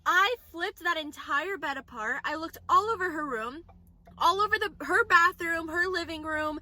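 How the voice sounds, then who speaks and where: A woman's voice: very high-pitched, moderate pace, pushed and bright, no room sound. A woman in her twenties chatting casually to a phone camera in a vlog, rambling with lots of "like" fillers. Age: 20s. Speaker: vlogger. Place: indoors and in a car.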